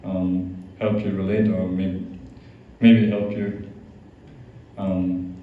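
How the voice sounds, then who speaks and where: A man's voice: deep voice, flat delivery, very slow. A young man from Austria with an Austrian accent, giving an informal, hesitant talk full of fillers and restarts to a youth group. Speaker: guest speaker, monk. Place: large echoey hall. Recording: echoey, distant, dull hall recording.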